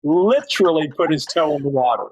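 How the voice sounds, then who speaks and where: An older man speaking as a podcast guest, giving conversational advice in a measured, emphatic way with repeated key phrases. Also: fairly high pitch, animated, a little mellow, muffled recording, slight background noise.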